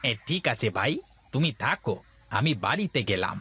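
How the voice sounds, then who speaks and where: A man's voice: medium pitch, big pitch swings, normal speed, sharp tone, breathy edge, heavily acted. A man voicing an agreeable, easily swayed village farmer in a cartoon, talking plainly. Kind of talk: cartoon voice acting.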